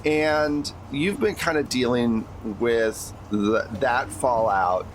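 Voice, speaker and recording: A man's voice: mid-range voice, slow, a little hiss or hum, poor audio. An American man acting as game master, talking casually and conversationally as he narrates a tabletop role-playing game. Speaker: game master of an actual-play podcast.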